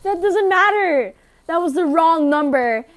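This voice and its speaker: High-voiced, agitated, demanding invalid wife played by a woman. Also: expressive, unhurried. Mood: indignant, exasperated. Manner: agitated, imperious. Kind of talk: radio play acting.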